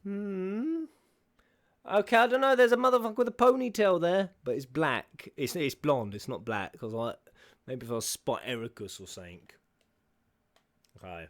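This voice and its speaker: A man giving a casual, excitable reaction commentary on game cutscenes, slangy and sweary, as a streamer. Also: a little high, moderate pace.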